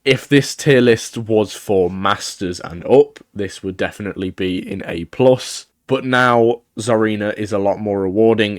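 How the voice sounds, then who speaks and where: A young man with a croaky voice from a cold, giving casual, opinionated gaming commentary. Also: on the low side, no room sound, clean studio sound. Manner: chatty, opinionated, thinking aloud. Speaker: gaming video creator. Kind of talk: casual tier-list commentary.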